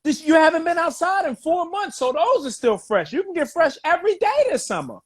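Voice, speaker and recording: A man hosting a comedy talk show, talking casually and excitably, swearing and laughing a lot. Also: extremely high pitch, fairly quick. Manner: profane, excitable, laughs often.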